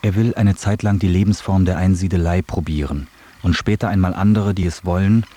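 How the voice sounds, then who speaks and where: Very deep, dark-voiced male narrator. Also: steady tone, brisk. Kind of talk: scripted radio documentary narration.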